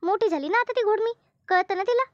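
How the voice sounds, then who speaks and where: Casting: woman to play scolding cartoon mother, extremely high-pitched, rural Maharashtra accent, exasperated, dry dull recording. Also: quick pace, no room sound. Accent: rural Maharashtra Marathi. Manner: nagging, full of rhetorical questions. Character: exasperated village mother scolding her household. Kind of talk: amateur Marathi cartoon dubbing.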